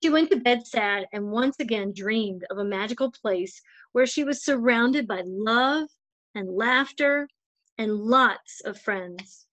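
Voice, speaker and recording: A woman's voice: fairly high pitch, takes it slowly, fairly bright tone, no echo. A woman narrating a children's storybook as a volunteer storytime reader.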